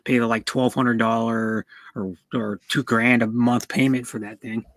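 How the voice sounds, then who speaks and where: A man talks casually on a livestream at an average pitch. He rambles and stammers, often repeating words as he goes. The sound is dry, with no room echo. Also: little pitch movement, moderate pace, fairly bright tone. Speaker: streamer. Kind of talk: casual car chat on a livestream.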